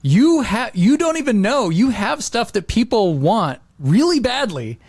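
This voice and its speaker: Rambling man with a higher-pitched voice. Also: big pitch swings, no room sound. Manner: rambling, halting, full of hedges and repeats. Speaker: podcast co-host. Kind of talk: casual chat, rambling anecdotes.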